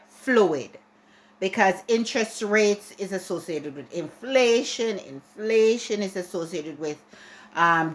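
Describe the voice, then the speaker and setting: A woman's voice: mid-range voice, fairly bright tone. A Jamaican woman giving a chatty, ranting opinion piece to her viewers, often restarting and repeating herself. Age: adult. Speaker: vlogger. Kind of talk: casual opinion monologue to camera.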